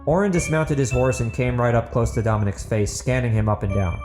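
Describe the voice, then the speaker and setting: A man's voice: mid-range voice, brisk, music in the background. A man reading a dramatic scripted fantasy audiobook as narrator.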